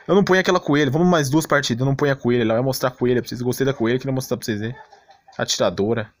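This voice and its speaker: A man's voice: medium pitch, rapid-fire. A man with a Brazilian accent giving casual, slangy, explanatory live commentary as a gaming streamer. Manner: slangy, explanatory, asks and answers own questions. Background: game music.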